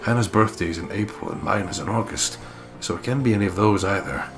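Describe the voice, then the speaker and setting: A man reading the inner monologue of a weary, exasperated married businessman in a measured, brooding way. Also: on the low side, music in the background, natural voice. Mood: torn, wry. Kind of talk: dramatic visual novel reading.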